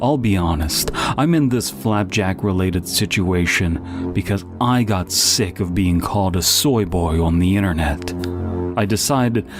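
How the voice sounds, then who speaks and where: A man's voice: sits low, a little slow. A man narrating a first-person comic horror story. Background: music.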